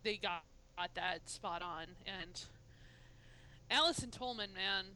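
A woman's voice: medium pitch, takes it slowly, bright, forceful tone, a little hiss or hum, poor audio. A woman chatting casually as a podcast co-host, emphatic and laughing often. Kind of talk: casual chat.

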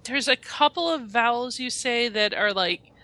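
A woman's voice: fairly high pitch, normal speed, pushed and bright. A woman co-hosting a casual podcast chat, mostly adding brief agreeing remarks.

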